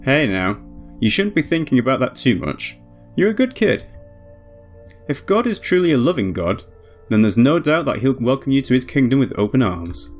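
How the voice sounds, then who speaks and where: A man's voice: medium pitch, sing-song, normal speed, faint music behind, close to an everyday voice. A man voicing a jovial, world-weary boatman, a devout drinker, in a visual novel. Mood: jovial, kindly.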